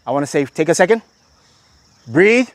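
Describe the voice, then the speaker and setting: A man from Miami with an American accent touched by Kenyan speech, an organic farmer being interviewed, talking reflectively with many pauses. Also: a little high, very animated, no room sound. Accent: American from Miami, with some Kenyan influence. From Miami, Florida. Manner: reflective, speaks with frequent "uh" pauses and building metaphors. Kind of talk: casual interview. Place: raised platform outdoors on a rural farm.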